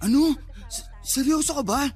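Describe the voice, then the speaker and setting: A man's voice: very high voice, normal speed, a little mellow. A man with a Filipino accent acting a spooked, skeptical young cousin in a radio drama. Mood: rattled, exasperated. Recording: music bed behind the voice.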